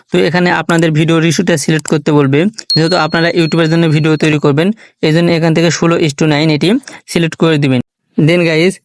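A man's voice: fairly high pitch, no room sound, clean studio sound. A man hosting a tech tutorial, giving step-by-step instructions and addressing viewers as friends. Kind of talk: step-by-step app tutorial narration.

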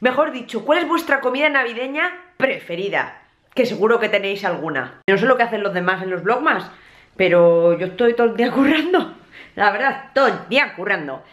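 A woman's voice: mid-range voice, a little fast. A young woman chatting casually to her viewers in a home vlog, filmed on a handheld camera at arm's length in a small apartment. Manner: chatty, expressive, chatty asides to viewers. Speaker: vlogger. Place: small apartment living room, indoors.

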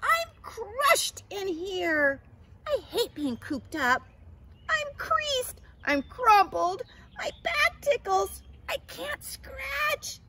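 A woman's voice: a little high, wildly swooping pitch, normal speed, hardly any pauses, fairly bright tone, husky, heavily acted. A woman voicing a scornful, conceited female caterpillar in a storybook, sneering and complaining. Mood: cranky, whining. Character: scornful, conceited young caterpillar who whines and mocks.

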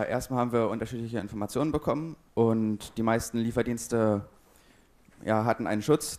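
Low-voiced teen answering plainly. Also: male voice, darkish tone. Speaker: youth hackathon participant. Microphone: handheld mic held by interviewer.

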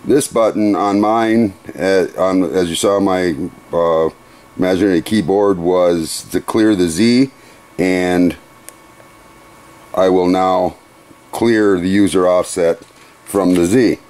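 A middle-aged man in a workshop, walking through a machine's controls in an unhurried, methodical, plain-spoken way. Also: deep voice, fairly even intonation, a little mellow. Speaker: CNC machine demonstrator. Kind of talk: hands-on equipment demonstration. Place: workshop beside a CNC router.

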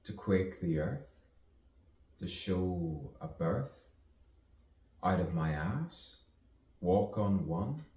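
A man performs a dramatic poetic monologue in a very low voice, unhurried and full of long pauses. His pitch moves widely as he muses and plays with rhyme. The recording is echoey, with the mic some way off. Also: animated, warm tone. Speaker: poet performing own monologue. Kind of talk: theatrical poetic soliloquy with wordplay and rhyme. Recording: roomy, distant mic, faint background noise.

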